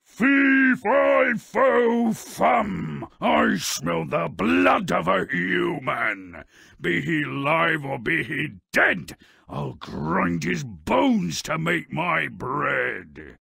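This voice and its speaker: A man voicing a huge, menacing man-eating giant for a video game, booming out threats in a gravelly, raspy voice. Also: sing-song, drawn-out words, pushed and bright, dry recording, clean studio sound, exaggerated voice. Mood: menacing, bellowing. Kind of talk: video game character acting.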